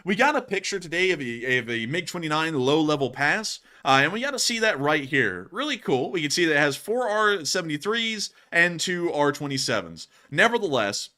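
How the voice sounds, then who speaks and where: Bright-voiced young man hosting news. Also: mid-range voice. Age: early 20s. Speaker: news channel host. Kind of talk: live news commentary, casual chat with viewers.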